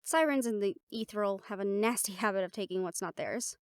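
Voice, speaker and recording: A woman's voice: medium pitch, brisk, clear, resonant tone, no room sound, clean studio sound, natural voice. A woman playing a confident, playful siren-banshee warrior in a conversational audio roleplay, talking intimately to the listener. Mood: proud, amused.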